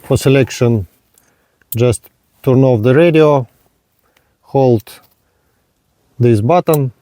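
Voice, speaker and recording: A man narrating a hands-on product demonstration in halting, simple step-by-step phrases. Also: medium pitch, warm tone, dry recording. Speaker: product reviewer.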